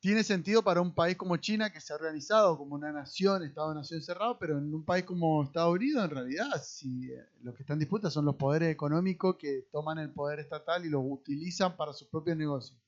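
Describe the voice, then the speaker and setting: A man's voice: a little high, quick pace, few pauses, dull audio. A man with a Rioplatense accent giving casual political commentary as a podcast co-host, explaining things analytically. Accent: Rioplatense Spanish.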